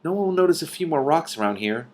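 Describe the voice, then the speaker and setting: A man's voice: fairly high pitch, lively intonation, fast talker, darkish tone, voice pushed up, lightly acted. Casting: male reader as smug theatrical wizard, storybook villain, pompous and petulant. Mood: smug, gloating. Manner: superior, pompous.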